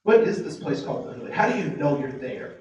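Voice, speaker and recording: A man giving a workshop to a live group, asking the audience many rhetorical questions and slowing down to stress key phrases. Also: on the high side, sing-song, darkish tone. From United States. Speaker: diversity trainer. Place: training room with tables. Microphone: distant mic picking up the room. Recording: very echoey room, speaker far from mic, faint noise.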